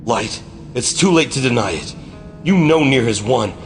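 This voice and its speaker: A man's voice: mid-range voice, lively intonation, normal speed, faint music behind, lightly acted. An actor in an anime fan dub playing a stern, grimly accusing male investigator.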